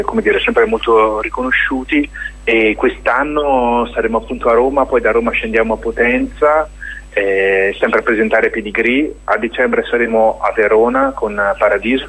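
A man's voice: fairly low pitch, fairly bright tone, husky, rough recording. A man being interviewed on radio as a theatre director, reflective and hesitant as he searches for words while explaining his work.

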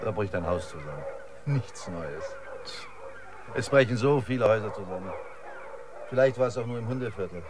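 Actor as a sardonic, animated street-survivor guide. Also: male voice, lowish voice, normal speed, long pauses, background noise, close to an everyday voice. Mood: sardonic, unruffled. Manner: laconic, sardonic, knowing. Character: cynical, seasoned male guide, slingshot-proud street survivor. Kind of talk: German radio play acting.

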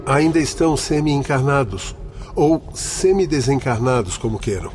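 A man's voice: medium pitch, expressive, moderate pace, a little mellow, a little hiss or hum, close to an everyday voice. A Brazilian man playing a learned, composed doctor in a radio drama, speaking precisely and politely. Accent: Brazilian Portuguese. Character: learned, composed male doctor among spirit guides.

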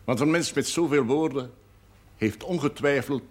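An actor playing a dignified, dry-witted village priest in a television drama, speaking formally and with authority. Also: male voice, medium pitch, moderate pace, slightly rough, natural voice. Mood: stern, dry.

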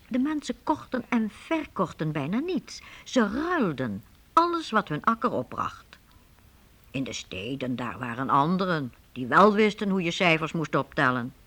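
A radio drama storyteller. Her rather low voice moves in lively sweeps as she explains and builds suspense with deliberate, expressive narration. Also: exaggerated voice.

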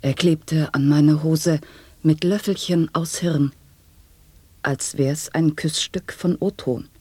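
A performer reciting experimental sound poetry in a studio radio play, very slow and quiet, sometimes whispering. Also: mid-range voice, fairly even intonation, breathy edge, no room sound. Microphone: studio microphone.

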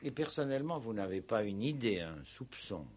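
An actor in a 1960s French radio play, playing a patient police commissioner who questions people politely and in measured phrases. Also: male voice, fairly low pitch, sing-song, breathy edge. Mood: probing. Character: patient, courteous, probing male police commissioner.

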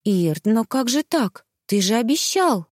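A woman voicing a bold, businesslike young female friend in an audiobook, brisk and demanding. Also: on the high side, sing-song, a little mellow, no room sound, studio quality, voice pushed up. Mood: challenging.

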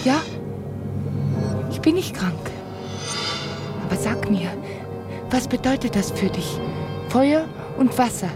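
An actress playing an enigmatic, fearful, visionary woman in a literary radio drama, dreamy and halting, full of questions. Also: on the high side, expressive, over loud music. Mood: anxious, transfixed.

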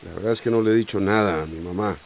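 A man's voice: on the low side, rapid-fire, creaky, a little hiss or hum. A man acting in a radio soap opera as a harried, dutiful middle-aged small-town mayor. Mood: worried, weary. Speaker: radio drama actor.

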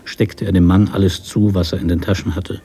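Low, mellow male literary narrator. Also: flat delivery, brisk, breathy edge. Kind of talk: literary prose narration for a radio drama.

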